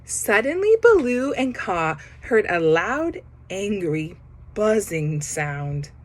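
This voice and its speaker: A woman reading a children's picture book aloud, pausing to comment on the pictures. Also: mid-range voice, big pitch swings. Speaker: storybook reader.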